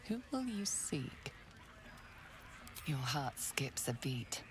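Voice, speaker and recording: An actress narrating a game as an ominous, seductive storyteller with a hushed, dramatic delivery. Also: female voice, a bass voice, big pitch swings, slow, noisy background, exaggerated voice. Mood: hushed, sensual. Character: ominous, seductive storyteller of dark inner thoughts. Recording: music behind the voice.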